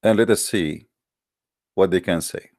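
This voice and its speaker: Low-pitched man with an Arabic accent. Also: a little slow, a little mellow, no echo, clean studio sound. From Middle East. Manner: combative, boastful, emphatic short phrases. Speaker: host of a religious call-in debate show. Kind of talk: polemical religious monologue.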